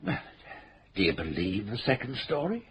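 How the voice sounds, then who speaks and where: A genial, pompous police chief. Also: male voice, fairly low pitch, sing-song, moderate pace, fairly bright tone, a little hiss or hum. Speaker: chief constable.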